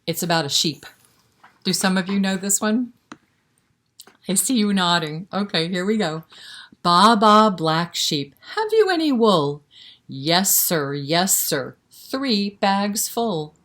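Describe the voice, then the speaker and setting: A woman's voice: medium pitch, animated, slow pace, a bit bright. A woman leading a storytime for young children, reciting nursery rhymes and talking to them directly in an encouraging, interactive way. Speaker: children's library storytime reader.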